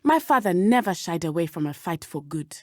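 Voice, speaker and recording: A woman with a southern African accent playing an earnest, brave teenage witch in a video game, speaking in measured, formal, precise diction. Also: lowish voice, big pitch swings, no room sound, clean studio sound. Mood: determined. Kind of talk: video game character dialogue.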